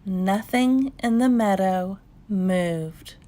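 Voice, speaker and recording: A woman reading a children's story aloud in a calm, measured bedtime style with pauses. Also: medium pitch.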